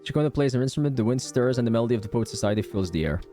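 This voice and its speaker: A man's voice: mid-range voice, expressive, rapid-fire, warm tone. A man streaming a game playthrough in a casual, informal way, commenting and reading text aloud. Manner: informal, sweary. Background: game music.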